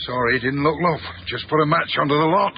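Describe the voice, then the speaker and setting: An actor playing a gruff, cheeky working-class Yorkshireman, blunt and slangy, in a naturalistic radio drama. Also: on the high side, quick pace, natural voice. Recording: dull radio recording with some background noise.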